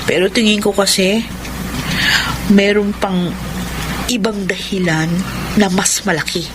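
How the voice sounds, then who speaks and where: Slow, hesitant young sister played by an actress. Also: female voice, medium pitch, fairly even intonation, natural voice. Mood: worried, puzzled. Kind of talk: Filipino radio drama acting. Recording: noisy background hum.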